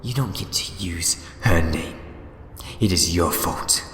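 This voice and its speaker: A man's voice: low voice, slow, a bit bright, a little hiss or hum. A man playing a scheming, mischievous and theatrical villain in a sci-fi fan audio drama, mocking in manner. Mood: bitter, accusing. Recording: echoey reverberant hall, music underneath.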